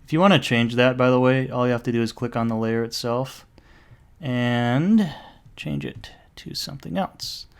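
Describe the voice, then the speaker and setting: Gravelly man rambling through a tutorial. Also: mid-range voice. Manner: rambling, jokey self-talk with mild swearing. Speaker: software tutorial maker. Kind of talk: casual screen-recorded tutorial.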